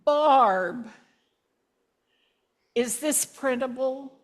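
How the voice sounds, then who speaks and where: A woman in her sixties, a minister reminiscing to her congregation with gentle humor in a church. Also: sits high. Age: 60s. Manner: slow, warm-humored reminiscing with asides. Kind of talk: reflective sermon with congregation sharing. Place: church sanctuary.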